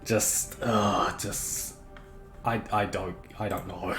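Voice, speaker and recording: Guy streaming a game, sore throat, kinda droning and rambly, echoey room, soft music behind. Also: fairly low pitch, slow pace. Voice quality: monotonous, droning. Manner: rambling, self-correcting, chatty. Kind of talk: casual live stream chat, reading chat.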